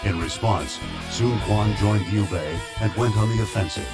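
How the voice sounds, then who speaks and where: A man's voice: lowish voice, moderate pace. A man narrating a historical war game as a scripted storyteller. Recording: loud music under the voice.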